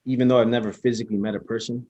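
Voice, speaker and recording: A man chatting as a guest in a casual interview, speaking in a laid-back, halting way with lots of restarts. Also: mid-range voice, flat delivery, warm tone, no room sound. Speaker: interview guest, small business owner.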